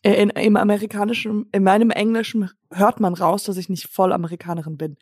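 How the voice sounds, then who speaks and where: A woman's voice: medium pitch, little pitch movement, quick pace, darkish tone, no room sound, clean studio sound. A young woman from Germany co-hosting a podcast, chatting loosely and rambling, with English phrases mixed in. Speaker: podcast co-host. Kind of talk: casual chat.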